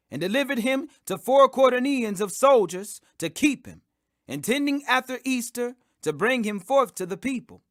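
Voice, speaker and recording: A man's voice: high-pitched, fairly bright tone, no echo, studio quality. A man reading scripture as an audiobook narration, in a solemn, declamatory, phrase-by-phrase delivery. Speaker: Bible reader.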